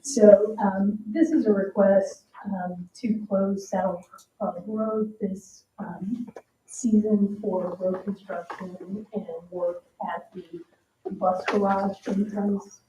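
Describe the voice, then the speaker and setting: Female staff presenter, halting, soft-edged mid-range voice, reverberant room with faint noise. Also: medium pitch, fairly even intonation. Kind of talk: informal request presentation at a public meeting. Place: town council meeting room. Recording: echoey room, distant mic, dull, noisy.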